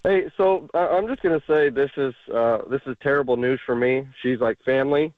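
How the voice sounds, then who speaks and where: A man's voice: medium pitch, a little fast, dry recording. A man calling in to a sports radio show, sentimental and earnest. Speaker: caller. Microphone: phone. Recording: tinny phone line.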